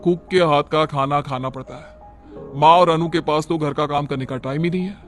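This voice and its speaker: A man's voice: fairly high pitch, normal speed, faint music behind, pitched below the performer's own voice, close to an everyday voice. A man voicing a mild, put-upon young husband who explains things casually in a cartoon moral story. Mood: resigned.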